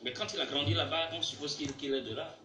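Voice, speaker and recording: A man's voice: on the high side, fast, bright, forceful tone, distant mic. A man asking questions as a casual radio interviewer, repeating words as they go. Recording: very echoey room, faint music.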